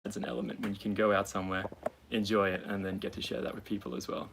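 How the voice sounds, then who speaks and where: A young Australian man introduces himself in an easygoing way, pausing often on fillers. His voice is low and gravelly, with faint background noise. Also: level tone. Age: 20s. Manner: easygoing, many filler pauses. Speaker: new crew member, nurse. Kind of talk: casual self-introduction.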